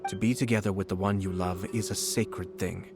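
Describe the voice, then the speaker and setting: Casting: diplomatic game nobleman, lowish voice, formal and measured, a touch sly, music underneath. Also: steady tone. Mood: sincere. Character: composed, courteous nobleman official, subtly sly. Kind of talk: video game voice acting, scripted dialogue.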